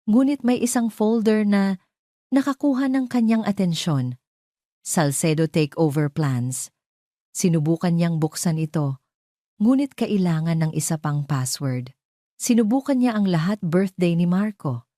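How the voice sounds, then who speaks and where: A Filipino woman reads a dramatic audio story in a low, mellow voice. She tells it in a measured, theatrical way, and the recording is close and dry. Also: no echo. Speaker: narrator.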